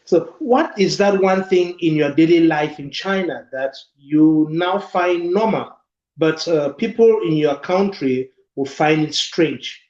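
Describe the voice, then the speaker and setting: A man's voice: on the high side, takes it slowly, darkish tone. A man hosting an interview, asking short questions in a friendly, casual way. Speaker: interview host. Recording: echoey, dull-sounding room.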